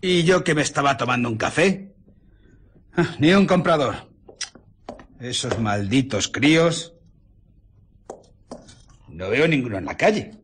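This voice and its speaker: An actor playing a stooped, suspicious shopkeeper in a radio drama, muttering querulously to himself. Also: male voice, medium pitch, animated, measured pace, pauses often, husky. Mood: suspicious, grumbling. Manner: muttering, querulous, swooping exclamations. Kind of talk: radio drama character acting.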